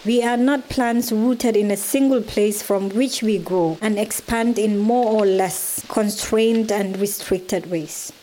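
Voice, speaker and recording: A woman reading scripted narration in a deliberate, measured manner. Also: medium pitch, dark tone, poor audio. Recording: faint hiss.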